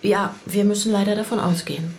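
A controlled, matter-of-fact police inspector, played by a woman in a radio drama. Her mid-pitched voice has heavy creak, and she lays things out in measured phrases. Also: steady tone, darkish tone, natural voice. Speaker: voice actor in an audio drama. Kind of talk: German radio play acting.

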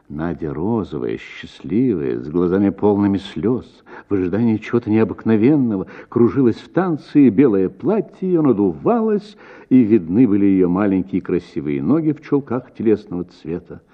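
A man reading a literary short story as an actor, with expressive, theatrical phrasing. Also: low-pitched, normal speed, phone-quality sound. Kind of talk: expressive literary audiobook narration.

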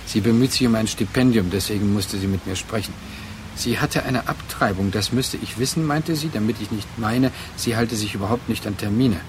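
An actor in a literary radio drama playing a brooding man who addresses his remembered former lover in halting, reflective phrases. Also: lowish voice, close to an everyday voice. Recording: clear noise behind the voice.

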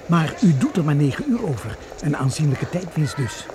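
An actor playing a courteous, precise railway ticket clerk in a radio drama, formal and helpful. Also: male voice, a little high, sing-song, normal speed. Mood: polite, precise. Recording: radio play with clear background noise.

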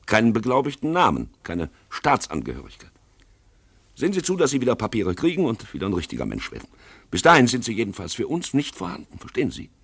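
An actor playing a brusque, dismissive police commissioner in a German radio play, curt and officious, with a gravelly, husky voice. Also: male voice, mid-range voice, huge pitch swings, quick pace, fairly bright tone, heavily acted. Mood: lecturing.

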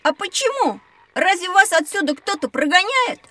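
A woman voicing a curious, excitable young child in a children's educational audio drama, eagerly questioning and exclaiming. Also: very high voice, moderate pace, dry recording, exaggerated voice.

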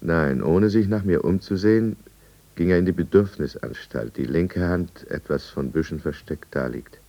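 An actor playing a brooding drunkard who narrates his own downfall deliberately and confessionally in a 1950s radio drama. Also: deep voice, gravelly, dark tone, muffled recording. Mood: helpless, bitter. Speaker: first-person narrator.

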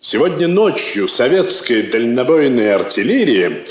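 An actor playing a pompous, formal diplomat with a ceremonious, officious delivery, in stage-style radio drama. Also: male voice, mid-range voice, very animated, a little slow. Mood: officious, grave. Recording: echoey old studio drama recording.

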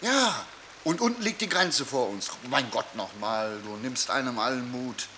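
A man's voice: medium pitch, expressive, unhurried, bright, forceful tone, slight background noise. An actor playing a bossy, cocksure fugitive man who scolds and lectures his comrade impatiently, in a German radio play. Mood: urging.

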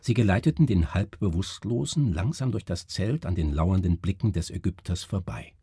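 A man's voice: low voice, expressive, moderate pace, dark tone, husky, dry recording, studio quality. A man giving a dramatic literary narration for radio.

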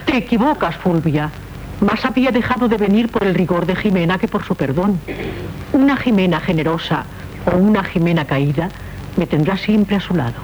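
An actress with a Castilian accent playing a dignified, compassionate princess in a 1970s radio drama, speaking in a measured, formal way. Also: female voice, on the low side, lively intonation, darkish tone, natural voice. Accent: Castilian Spanish. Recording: muffled, noisy 1970s radio recording.

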